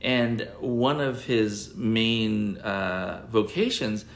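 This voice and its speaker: Male podcast host with a gravelly, mid-pitched voice, curious and chatty, in an echoey room. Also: drawn-out words. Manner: curious and chatty, with hesitant "uh"s and repeated words. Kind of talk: conversational podcast interview.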